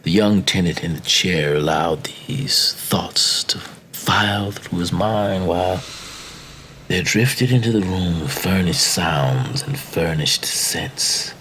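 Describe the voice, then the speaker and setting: A man reading a macabre literary tale as dramatic narration, with theatrical, deliberate phrasing. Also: low voice, a bit bright. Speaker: story reader. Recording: faint background noise and ambience.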